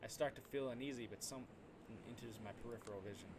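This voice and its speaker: A male let's player reads visual novel narration in a dramatic way, now and then tripping over a word. His pitch is average for a man. The recording carries clear background noise. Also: rapid-fire. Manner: dramatic reading with stumbles over words. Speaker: video game streamer. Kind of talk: let's play read-aloud of visual novel text.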